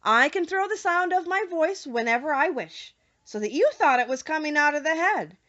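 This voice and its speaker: A woman's voice: sits high, lively intonation, moderate pace, bright, forceful tone, muffled recording, voice pushed up. A woman voicing a little old humbug wizard, sorrowful and confessing, in earnest audiobook storytelling. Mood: boastful. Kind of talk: audiobook character voice.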